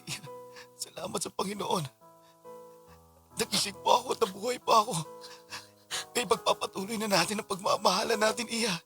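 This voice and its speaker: A man with a Filipino accent acting a devoted, grateful husband newly woken from a coma, earnest and halting, in a radio drama. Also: high voice, fairly even intonation, bright, forceful tone, soft background music. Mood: grateful, tender.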